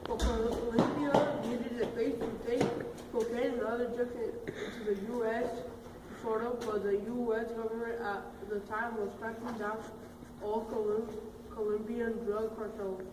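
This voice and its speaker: A woman's voice: on the high side, flat delivery, a little slow, lightly acted. A woman playing a ruthless, boastful male drug lord, stating things in a matter-of-fact way. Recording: echoey room, far from mic, noisy.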